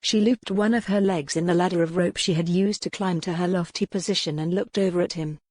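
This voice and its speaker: A woman's voice: lowish voice, fairly even intonation, a little fast, darkish tone, no room sound. A woman reading scripted fiction as a dramatic audiobook narrator.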